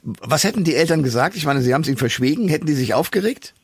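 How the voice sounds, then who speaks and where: A man hosting a radio talk show, interviewing in a conversational style with a husky voice. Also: a little high, expressive, quick pace, no room sound.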